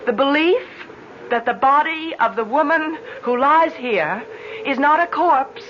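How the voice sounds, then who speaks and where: A woman playing a grieving, resolute young village woman in old-time radio drama, speaking intensely and theatrically, halting when troubled. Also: high-pitched, animated. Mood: solemn, declaiming. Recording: muffled, noisy old radio recording.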